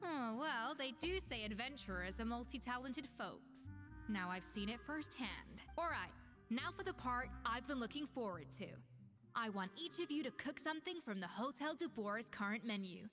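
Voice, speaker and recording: A proud, exacting young chef from an anime game, played by a woman. Her voice sits a little high and she speaks bluntly and crisply, like a strict judge. Soft music runs underneath. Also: lively intonation, moderate pace, in a large hall, natural voice. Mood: exacting, composed. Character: exacting, proud young female master chef, sternly judging. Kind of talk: anime video game voice acting.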